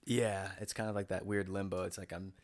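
A young man from North Carolina hosting a podcast, chatting in a relaxed, joking way with his guest. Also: sits low, fairly quick, no echo. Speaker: podcast host. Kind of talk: casual interview chat.

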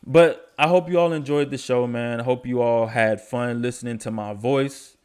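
A man's voice: mid-range voice, steady tone, normal speed, a little mellow, smooth. A young man hosting a casual sports podcast, stuffy from allergies, talking in a laid-back, slangy way. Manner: laid-back, slangy, enthusiastic about stats. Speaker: podcast host.

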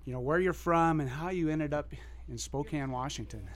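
A man's voice: a little high, normal speed, a little hiss or hum. A man with an American accent, casually asking questions as an interview host.

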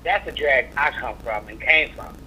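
A man's voice: medium pitch, moderate pace, bright, forceful tone, slight background noise. A man, a drag performer, talking as a guest in a casual interview, sassy and blunt in his opinions. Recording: dull lo-fi recording.

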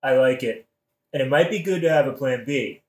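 A man's voice: medium pitch, a little fast, a little mellow, roomy sound, close to an everyday voice. A man playing a scheming, casually murderous king in a modern satirical audio play, talking in an evasive, colloquial, wheedling way.

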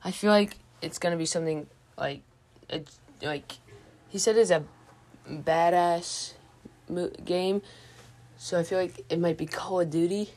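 A woman's voice: on the low side, fairly even intonation, measured pace, a little mellow. A woman hosting a podcast in a casual, informal way, hesitantly thinking aloud as she goes. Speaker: podcast host. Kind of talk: casual movie news chat.

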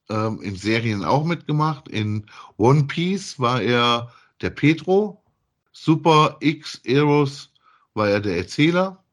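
A man co-hosts a casual podcast. He talks at a slow pace in a mid-range pitch. His delivery is halting and deliberate. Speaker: podcast co-host.

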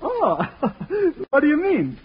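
High-voiced eager young man. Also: huge pitch swings, slow pace, heavily acted. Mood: fawning. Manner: exclaiming, easily baffled straight-faced questioning. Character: eager, naive young opera writer, flattering and flustered. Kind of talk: 1940s radio comedy acting. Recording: dull, faintly noisy old radio broadcast.

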